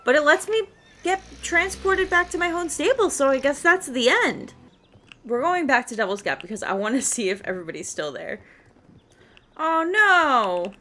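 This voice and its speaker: High-voiced woman chatting through gameplay. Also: normal speed. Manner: expressive, chatty, reacting aloud to the game. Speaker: video game streamer. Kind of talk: casual gameplay commentary.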